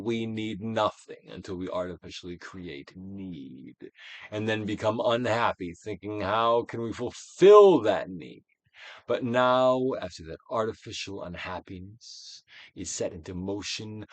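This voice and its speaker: A man reading a self-help book aloud as a dramatic, guided-meditation narration, with deliberate actorly emphasis, swelling and dropping to a hush. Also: low voice, no echo. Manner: theatrical, deliberate actorly delivery with emphatic swells and hushed drops.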